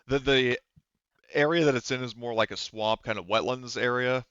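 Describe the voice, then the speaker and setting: A man narrating a tabletop game as game master in a casual, halting, rambling, conversational way. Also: medium pitch, a bit bright, smooth, no echo, highs cut off.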